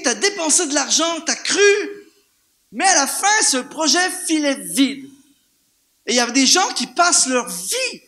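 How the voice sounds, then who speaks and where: Animated Canadian French preacher, high bright voice. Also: male voice. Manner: animated, repeats words for emphasis, rhetorical questions. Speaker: guest preacher. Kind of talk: lively sermon. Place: church, before a congregation.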